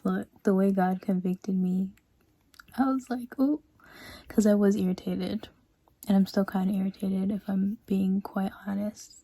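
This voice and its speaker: Slow, mellow-voiced young woman vlogger. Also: mid-range voice, fairly even intonation, breathy edge, no room sound. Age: 20s. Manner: chatty, full of "like" and "um", drops to soft, hushed talk. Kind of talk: casual confessional vlog chat.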